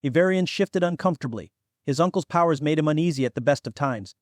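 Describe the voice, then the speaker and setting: A man's voice: a little high, expressive, fast talker, dry recording, studio quality. A man reading scripted fantasy fiction as an audiobook narrator.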